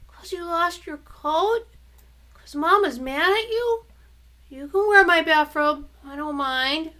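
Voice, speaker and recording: A woman voicing a small, scared little boy who pleads and stammers in a high, whiny voice. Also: expressive, slow pace, pushed and bright, exaggerated voice. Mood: pleading.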